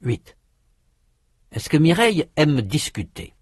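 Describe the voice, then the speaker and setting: A man reading drill questions for a language course, in slow prompts with lively intonation. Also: fairly high pitch, husky, no echo, clean studio sound.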